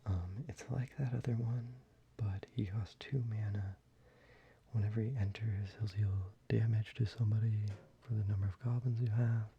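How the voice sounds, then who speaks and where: A man speaking softly, mostly in a whisper, casually showing cards for an ASMR video, with fillers and small pauses. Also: fairly low pitch, steady tone, gravelly, husky, dull audio. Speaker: ASMR creator. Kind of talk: soft-spoken ASMR card showcase.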